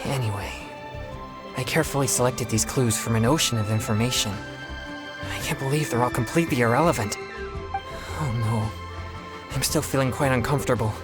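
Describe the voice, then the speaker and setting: An actor playing an earnest, polite, easily flustered teenage exorcist boy in a video game, speaking in a formal, measured way. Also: medium pitch, close to an everyday voice. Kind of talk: video game character dialogue. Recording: music behind the voice. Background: game music.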